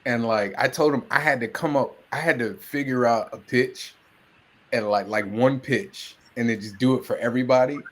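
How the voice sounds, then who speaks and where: A man hosting a podcast, loud and chatty, telling stories with lots of "you know" and "like.". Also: medium pitch, normal speed. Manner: loud, chatty, rambling with fillers. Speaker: podcast host. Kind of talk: casual podcast chat.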